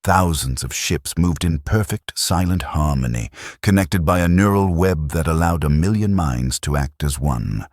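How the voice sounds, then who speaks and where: A man narrating a science fiction audio drama with a deep, slow dramatic delivery. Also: animated, no echo, clean studio sound.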